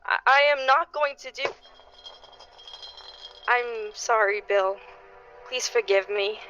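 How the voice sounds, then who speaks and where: A woman acting a desperate, high-voiced pleading neighbour. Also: pushed and bright, muffled recording, faint music behind. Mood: defiant, despairing. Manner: halting, stammering pleas. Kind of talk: dramatic radio play acting.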